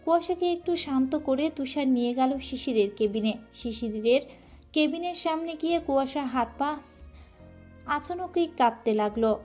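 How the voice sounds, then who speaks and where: A woman's voice: sits high, animated, normal speed, a little mellow, soft background music. A woman narrating a romantic story in an emotive, dramatic reading, with occasional restarts.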